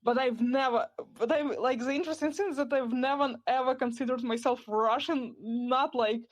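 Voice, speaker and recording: A woman speaks as a podcast guest in a fairly high voice. She rambles and hedges, leaning on "like" and "you know", with light laughs between her thoughts. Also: steady tone, moderate pace. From Ukraine. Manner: rambling, hedging with frequent "like" and laughs. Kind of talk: casual interview chat.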